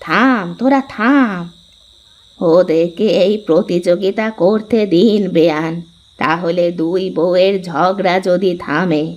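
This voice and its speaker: A woman's voice: fairly low pitch, slow pace. A woman voicing an older, matronly village mother-in-law in a cartoon story, on a dull recording. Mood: exasperated. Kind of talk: Bengali cartoon dialogue.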